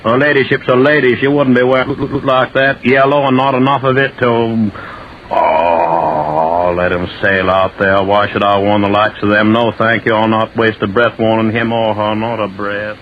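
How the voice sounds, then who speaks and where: An actor playing a scornful, grumbling boatman with a gruff, rustic way of talking in a 1950s radio drama. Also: on the low side, fairly even intonation, normal speed, natural voice. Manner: gruff, rustic dialect, indignant. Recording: muffled, noisy vintage radio recording.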